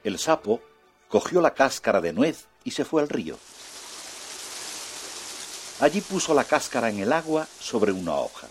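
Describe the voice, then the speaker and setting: A man narrating a children's fairy tale in an expressive, soft-spoken way. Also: mid-range voice, unhurried, long pauses, faint music behind. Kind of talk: children's storytelling.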